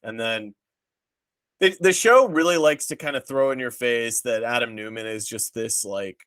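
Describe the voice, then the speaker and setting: A man's voice: medium pitch, moderate pace, fairly bright tone, dry recording. Casual man chatting, close dry sound. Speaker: podcast host reviewing a TV show. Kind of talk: casual podcast chat.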